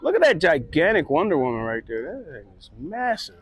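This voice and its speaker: A middle-aged man gives relaxed, chatty walking commentary at an outdoor flea market, recorded on a handheld phone. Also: fairly high pitch, fairly quick. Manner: relaxed, chatty, pointing things out. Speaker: vlogger. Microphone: handheld phone camera.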